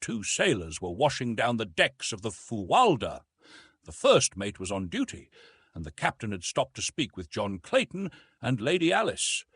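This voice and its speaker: A man narrating a classic adventure novel in a measured, formal storytelling manner. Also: lowish voice, wildly swooping pitch, fairly bright tone, slightly rough, no room sound, studio quality. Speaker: audiobook narrator. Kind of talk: scripted literary narration.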